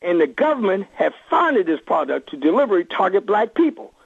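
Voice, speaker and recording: A man's voice: sits high, sing-song, quick pace, vocal fry, husky. A man speaking as an activist reverend and radio call-in guest, impassioned and emphatic, repeating phrases for force over a phone line. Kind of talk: impassioned political interview talk.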